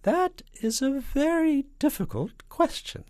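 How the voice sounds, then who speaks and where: Twitchy falsetto spy. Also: a little slow, dry recording, studio quality. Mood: evasive, flustered. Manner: hesitant, stammering, excitable.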